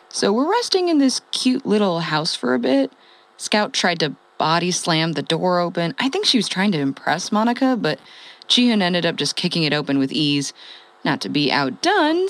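An actress playing a wry, sweary former radio host who survives the apocalypse, telling her day in a halting, conversational audio-drama monologue. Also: fairly low pitch. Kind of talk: conversational comedic monologue, as a ham radio log.

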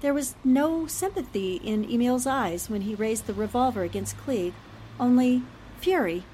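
A woman plays an earnest newspaperwoman in an old-time radio drama. Her voice sits fairly high and she reasons things out deliberately, sounding shaken yet determined. A faint rumbling noise runs underneath. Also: animated, warm tone, close to an everyday voice, rough recording. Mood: troubled, pondering.

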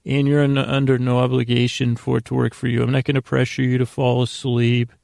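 A man with a very creaky, gravelly voice hosting a bedtime podcast, rambling haltingly and interrupting himself as he drifts into tangents. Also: medium pitch, flat delivery, darkish tone, no echo, clean studio sound. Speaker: podcast host.

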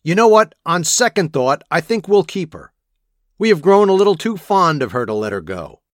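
Man playing an aggressive high-pitched thug kidnapper. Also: big pitch swings, moderate pace, dry recording, studio quality. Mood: taunting, heated. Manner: aggressive, barking. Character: big tattooed thug kidnapper, menacing and impatient. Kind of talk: audio drama acting.

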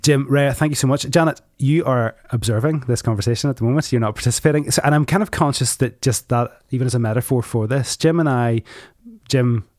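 A man's voice: medium pitch, fairly quick, darkish tone, dry recording, clean studio sound. Chatty Northern Irish male host. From Northern Ireland. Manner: chatty, joking, prompts guests. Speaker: podcast host. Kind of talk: hosting a casual discussion.

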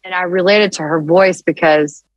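Low-voiced woman telling stories. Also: moderate pace, dry recording. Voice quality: deeper, low-register voice. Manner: animated storytelling with frequent restarts and laughs. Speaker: songwriter guest. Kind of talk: casual interview chat.

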